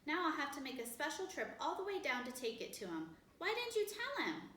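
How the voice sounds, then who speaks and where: An exasperated mother, as a woman reading aloud puts her on scolds with pitch climbing high. The voice is bright and a little above average in pitch. It sounds roomy and distant from the mic. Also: animated, moderate pace, slight background noise. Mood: scolding.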